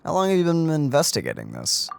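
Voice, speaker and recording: A man's voice: on the high side, wildly swooping pitch, fast, vocal fry, slight background noise. A man doing casual let's play commentary, informally speculating and reacting aloud to a game. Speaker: gaming let's player.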